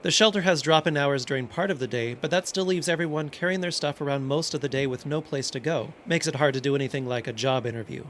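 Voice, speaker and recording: A man's voice: on the high side, quick pace, hardly any pauses, a bit bright, a little hiss or hum, natural voice. A man playing a matter-of-fact, helpful news correspondent in a satirical audio play, explaining facts without fuss. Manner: informative, unflappable. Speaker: reporter's expert sidekick.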